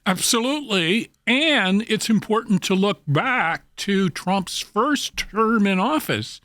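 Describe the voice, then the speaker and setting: High-pitched, slow-talking man giving commentary. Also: lively intonation, sharp tone, no echo, clean studio sound. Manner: halting, deliberate. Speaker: professor, expert commentator. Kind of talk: economic commentary in an interview.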